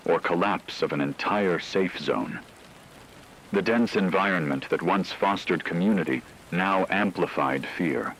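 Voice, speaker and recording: A man reading scripted, documentary-style dramatic narration in a measured, solemn way. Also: low-pitched, fairly bright tone, a little hiss or hum, low-quality recording.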